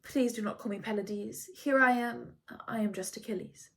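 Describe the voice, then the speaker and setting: An eager young boy prince, as a woman reader puts him on, earnest and direct in a storybook telling. His voice lifts a bit higher than the narration around him. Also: normal speed, lightly acted.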